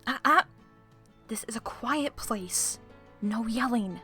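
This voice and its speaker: A woman's voice: a little high, takes it slowly, sharp tone, soft background music. A woman playing a sly, self-assured librarian with arch, playful, theatrical phrasing in an audio roleplay. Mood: prim, smug.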